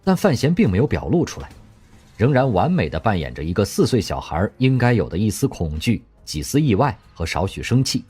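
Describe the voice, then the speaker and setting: Audio drama narrator: a man, lowish and breathy voice, expressive delivery. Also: fairly quick. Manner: expressive storytelling. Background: faint music.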